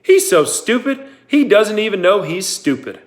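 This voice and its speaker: Guy doing a jeering camp counselor, higher, loud and snide. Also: sing-song, moderate pace. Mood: scornful. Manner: mocking, loud and scornful. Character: sneering grown man camp counselor.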